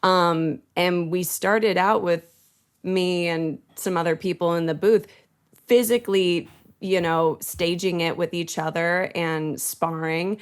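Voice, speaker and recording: A woman with a slightly low voice. She chats casually in an interview as a voice actor, telling stories about her work. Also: steady tone, slow, clear, resonant tone.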